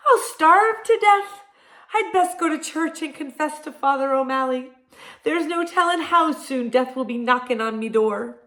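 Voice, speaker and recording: A man's voice: extremely high pitch, expressive, unhurried, pushed and bright, voice pushed up, heavily acted. A woman voicing a lazy, melodramatic Irish man who whines and wails with self-pity, in an Irish accent. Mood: wailing. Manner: whiny, wailing, self-pitying.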